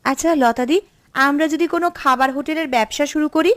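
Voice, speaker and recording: A woman's voice: high voice, fast, fairly bright tone, no room sound. A woman playing an agreeable, eager young village sister in a cartoon, deferential to her elder. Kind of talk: Bengali cartoon story acting.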